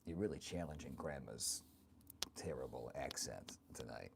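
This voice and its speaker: A man's voice: a bass voice, lively intonation, creaky, noisy background, exaggerated voice. A man playing a bawdy, doting grandmother who rambles and fusses in improvised comic chat with stream viewers. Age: older. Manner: rambling, halting, fussing, calls listeners honey and dear. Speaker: live streamer in character.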